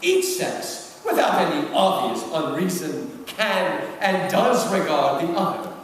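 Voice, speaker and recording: A man playing a pompous, sly senior devil in a dramatic book reading, with a theatrical, deliberate, drawn-out delivery. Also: a little high, very animated. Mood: sly, mocking. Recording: echoey hall from far off, noisy.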